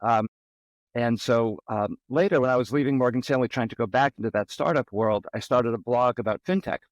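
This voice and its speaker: A man's voice: medium pitch, fairly quick, no echo, poor audio. A middle-aged man from the Boston area, a podcast guest telling personal stories in a casual, rambling way with many ums. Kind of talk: casual interview storytelling.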